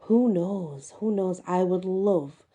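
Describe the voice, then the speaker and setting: A woman host reading folklore aloud and musing on it, with deliberate, emphatic phrasing. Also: fairly low pitch, dark tone. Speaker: host and reader. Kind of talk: reading a folklore book aloud with casual asides.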